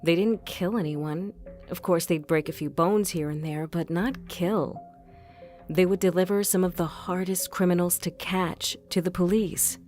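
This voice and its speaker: Woman acting a level-headed crime drama investigator, lowish voice, measured n methodical, bit of music behind. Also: fairly even intonation, darkish tone, natural voice. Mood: measured, serious.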